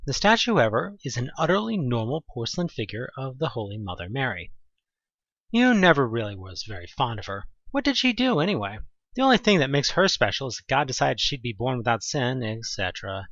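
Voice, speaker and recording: A man narrating a horror audio play in the second person, with an expressive, suspenseful delivery. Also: medium pitch, fairly quick, dry recording, muffled recording.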